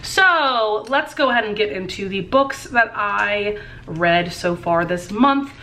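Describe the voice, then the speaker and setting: A woman's voice: medium pitch, lively intonation, takes it slowly, sharp tone, a little hiss or hum. A young adult woman chatting casually about books while doing her makeup at home, upbeat and full of "um" and "like". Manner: chatty and upbeat, many fillers like "um" and "like". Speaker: book vlogger. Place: room at home lit by a lamp.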